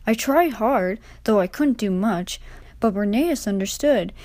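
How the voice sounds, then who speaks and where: Lady doing a wise old train grandpa from a storybook, earnest, steady, bit of a scold. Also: mid-range voice, unhurried, no echo, studio quality, close to an everyday voice. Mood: earnest reminiscing. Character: wise, earnest old male steam engine, gently reproving.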